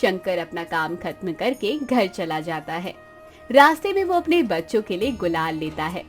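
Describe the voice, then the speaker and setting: A woman's voice: lowish voice, sing-song, normal speed, soft background music. A woman reading scripted narration for a moral story as its narrator.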